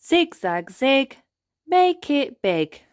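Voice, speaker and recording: Fairy nanny played by a woman, storybook. Firm, instructive, matter-of-fact, expressive pitch. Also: drawn-out words, no echo, clean studio sound, full character voice. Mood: incanting.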